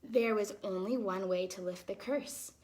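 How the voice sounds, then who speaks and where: A woman hosting storytime, reading a fairytale aloud to children expressively, with casual explanatory asides in a softer, chatty manner. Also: mid-range voice, a little slow. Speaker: storytime host and ballet dancer.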